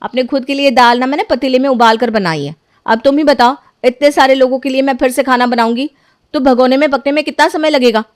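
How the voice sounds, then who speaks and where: A woman voicing a careless, defensive young wife in an animated story. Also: sits high, a little fast, dry recording, clean studio sound, close to an everyday voice. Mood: defiant. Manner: defensive, argumentative.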